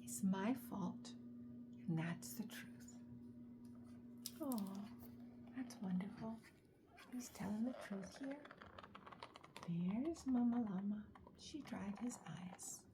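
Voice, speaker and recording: A woman reading a bedtime story aloud to young children, soft and often breathy, adding chatty asides in an expressive way. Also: medium pitch, takes it slowly, long pauses, noisy background. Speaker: children's story reader, host.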